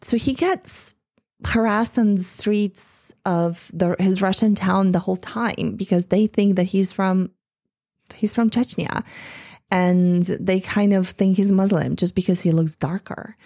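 Earnest woman with a mellow voice. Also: mid-range voice, measured pace, no room sound, studio quality. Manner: impassioned, many "like"s and restarts. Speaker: speaker addressing women in tech. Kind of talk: earnest speech to an audience.

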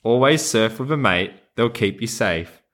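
A man's voice: medium pitch, animated, measured pace, a bit bright. An Australian man who hosts a podcast, talking casually and reading a children's storybook in a playful, encouraging way. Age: adult. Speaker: podcast host.

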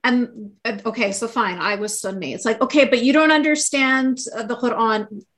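A woman's voice: a little high, moderate pace, fairly bright tone. A woman with Arabic as her first language hosting a casual online talk, outspoken and swearing when indignant. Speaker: host.

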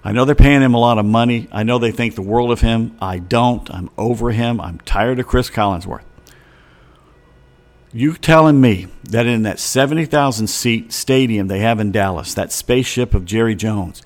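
A middle-aged man with a slightly hoarse voice, ranting in a chatty monologue with many fillers and restarts. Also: mid-range voice, normal speed. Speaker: vlogger. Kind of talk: casual rambling monologue.

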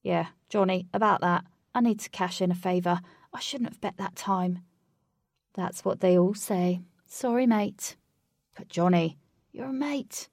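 A woman's voice: on the low side, unhurried, gravelly, a little mellow, no room sound, studio quality. Woman reading a fretful, guilty young man. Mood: pleading. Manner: mumbling, sniffling, pleading. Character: distressed young man, foolish gambler.

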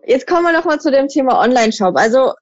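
A woman's voice: high voice, animated, fast, no room sound, dull audio. A woman hosting a podcast interview, asking probing questions and summarizing the answers. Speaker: podcast host. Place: lives in Switzerland for almost ten years.

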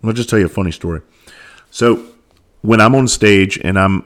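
A very deep, low-pitched man talks straight to his listeners, hoarse from losing his voice. He is earnest and halting, restarting his sentences and dropping into quieter asides as he speaks. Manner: earnest, halting with frequent restarts and filler, drops into soft asides. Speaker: podcast host and mental health speaker. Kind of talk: candid casual monologue.

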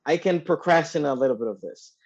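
A woman's voice: low voice, expressive, fast talker, lo-fi sound, over a noisy crowd. A woman in her thirties, a coaching client, talking candidly and self-reflectively about her own habits. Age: 30s.

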